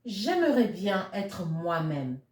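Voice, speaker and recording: A woman voicing a small, wistful picture-book chameleon in a wishful, drawn-out way. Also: mid-range voice, sing-song, a little mellow. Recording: roomy, echoey sound.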